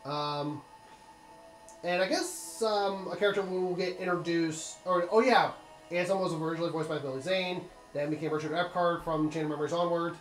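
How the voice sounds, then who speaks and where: A man's voice: on the high side, moderate pace, reverberant, faint music behind, poor audio. A man doing casual gaming commentary, blunt and sweary, giving rambling opinions on a story. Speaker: let's play commentator.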